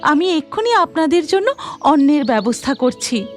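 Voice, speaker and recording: A woman's voice: sits high, sing-song, normal speed, faint music behind. A woman voicing a devout, humble, hospitable village wife in a Bengali cartoon. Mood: respectful.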